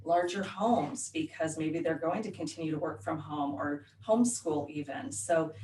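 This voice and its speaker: Lowish woman from oregon, escrow officer, friendly explainer, roomy echo, mic kinda far. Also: normal speed, warm tone. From Oregon, USA. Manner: friendly, explanatory, laughs easily. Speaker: escrow officer, interview guest. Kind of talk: casual interview answers. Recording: roomy sound, mic at a distance, faint noise.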